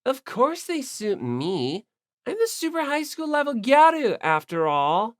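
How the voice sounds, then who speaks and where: A man's voice: extremely high pitch, wildly swooping pitch, a little slow, pitched above the performer's own voice, heavily acted. A man voicing a brash, hot-tempered teenage gyaru girl in an audiobook, blunt and snappy. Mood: smug.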